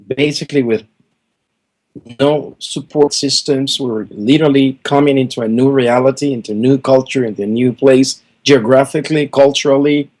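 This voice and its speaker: A man's voice: mid-range voice, darkish tone, poor audio. A middle-aged man from Venezuela, a guest musician answering interview questions in an earnest, emphatic way, repeating words for stress.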